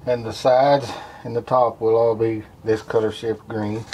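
A middle-aged man giving a relaxed, folksy running commentary as he demonstrates a hands-on craft at his workshop bench. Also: on the low side, unhurried. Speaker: lure painter demonstrating. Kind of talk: casual how-to demonstration. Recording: noisy workshop with airbrush spray.

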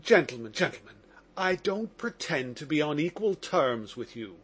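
A man's voice: a little high, big pitch swings, a bit bright, slightly rough, exaggerated voice. A man putting on an agitated, drunk young suspect. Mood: effusive, nervous. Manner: nervous, rapid, pleading.

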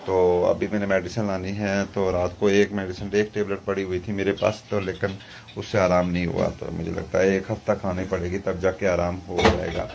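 A man in his thirties from Jammu, vlogging with a sore, hoarse throat, chatting on and on to a phone held at arm's length in his kitchen. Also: sits low, a little mellow, slight background noise. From Jammu, India. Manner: chatty, repeats himself, pleads for viewers' prayers. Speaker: family vlogger. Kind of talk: casual vlog talk to camera. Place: small home kitchen and rooms, also outdoors.

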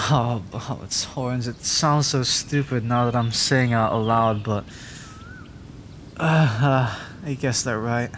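Casting: male actor as timid young male dragon for ASMR audio drama, slow and halting, rambling apologetically, nature noise behind him. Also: medium pitch, natural voice. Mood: sheepish. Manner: stammering, hesitant, rambling, apologetic. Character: nervous, adorkable young male dragon, injured and frightened.

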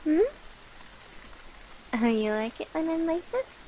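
High-pitched, coaxing cat-girl familiar, acted by a woman. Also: lively intonation, takes it slowly, slight background noise, rough recording. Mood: cozy, teasing. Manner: soft, coaxing, playful, giggly. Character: affectionate, sleepy young female cat-girl witch's familiar. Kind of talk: ASMR roleplay.

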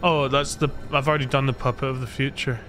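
A man's voice: mid-range voice, steady tone, fast, fairly bright tone, soft background music. A Scottish man streaming a video game, chatting casually and self-deprecatingly to his viewers as he plays. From Scotland. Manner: rambling, self-deprecating, talks to chat while playing. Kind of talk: casual gameplay livestream chat. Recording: noticeable background noise.

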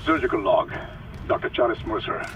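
An actor playing a fanatical, soft-spoken villain doctor in a video game, speaking in a theatrical, measured, politely menacing way. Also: male voice, fairly low pitch, expressive, lo-fi sound, heavy background noise, lightly acted. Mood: reverent fascination. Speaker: mad scientist villain. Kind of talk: video game cutscene acting.

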